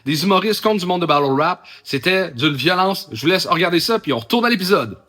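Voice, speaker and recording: A man hosting, talking quickly and brightly. His voice is high-pitched and upbeat. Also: lively intonation. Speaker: show host. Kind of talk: promo announcement.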